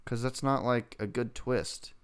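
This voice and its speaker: A man's voice: medium pitch, steady tone, measured pace, smooth. A man co-hosting a casual TV review chat, arguing his points in a sarcastic way. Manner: argumentative, sarcastic. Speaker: podcast co-host.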